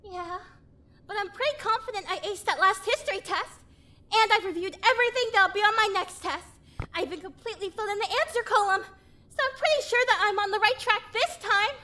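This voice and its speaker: Very high-pitched, earnest teenage schoolgirl. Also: a little fast, pushed and bright. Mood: sheepish confidence. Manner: naive, over-confident, melodramatic. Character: earnest, scatterbrained, manga-obsessed teenage schoolgirl. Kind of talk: anime fan dub acting. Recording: music behind the voice.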